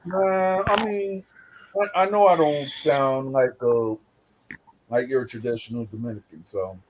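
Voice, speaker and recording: A man with a New York accent hosts a casual talk. He tells rambling stories, full of fillers and restarts. His pitch sits in the middle for a man. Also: unhurried, slight background noise, rough recording. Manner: loose, rambling storytelling with many fillers. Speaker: host. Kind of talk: casual chat.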